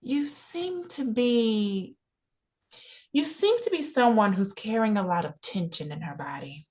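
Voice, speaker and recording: An actress plays an earnest, nosy woman in a naturalistic stage play. Her pitch swings widely as she talks in halting, repetitive bursts, insistent about what she has to offer. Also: animated, a little mellow, lightly acted. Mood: earnest, tentative. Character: Ruthie, talkative, earnest, nosy woman offering unasked health advice.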